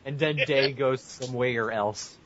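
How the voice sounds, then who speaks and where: A man's voice: medium pitch, a little slow, noisy background. A man co-hosting a casual podcast, jokingly reading aloud with mock drama and prone to breaking into laughter. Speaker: podcast co-host. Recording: muffled lo-fi podcast audio.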